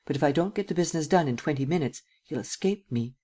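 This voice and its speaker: A man voicing a coolly sardonic, persuasive prince in an audiobook, speaking with deliberate, imperious command. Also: on the high side, expressive, warm tone. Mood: amused, calculating. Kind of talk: dramatic audiobook character acting.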